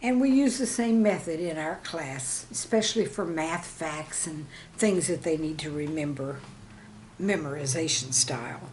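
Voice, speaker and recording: A woman in her sixties, a teacher reading humorous classroom anecdotes in an expressive, deliberate storytelling manner. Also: sits low, a little hiss or hum. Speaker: retired or veteran teacher, storyteller host. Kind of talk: scripted humorous anecdote narration.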